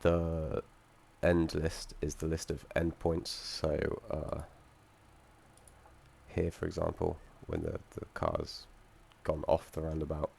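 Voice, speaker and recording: Croaky young man walking through code. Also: a bass voice, slow, darkish tone, a little hiss or hum. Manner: hesitant, many ums and restarts, dry asides. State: croaky from feeling unwell. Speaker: student presenting his game project. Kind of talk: screen-recorded code walkthrough.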